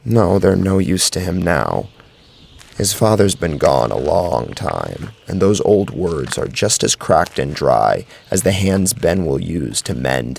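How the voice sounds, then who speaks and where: A man's voice: very deep voice, creaky, slightly rough. A man narrating a scripted horror story as a brooding, dramatic storyteller. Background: faint music.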